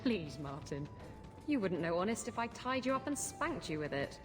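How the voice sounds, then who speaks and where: An actress playing a brash, flirtatious pirate captain in a video game cutscene, teasing and sardonic. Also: female voice, lowish voice, very animated, moderate pace, over music. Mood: playful, teasing.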